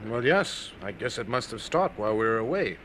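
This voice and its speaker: A man's voice: medium pitch, sing-song, a little fast, fairly bright tone. An actor playing an eager, deferential young male student, polite but informal, in a radio drama. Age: young adult. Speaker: student character. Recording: faint background ambience noise.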